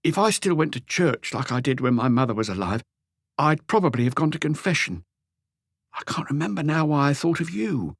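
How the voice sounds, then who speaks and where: A man voicing an anguished, lonely, meek husband in an audiobook, pleading and rambling haltingly through a confession. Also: on the high side, expressive, dry recording, clean studio sound, pitched above the performer's own voice. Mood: rambling, apologetic. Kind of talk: audiobook character dialogue.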